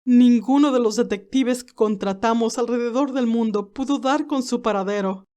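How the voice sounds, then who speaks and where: Woman playing a haughty elderly aristocratic great-aunt, higher-pitched. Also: normal speed, dark tone, close to an everyday voice. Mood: anguished, worried. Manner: formal, dramatic, disdainful storytelling. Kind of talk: first-person dramatized narration.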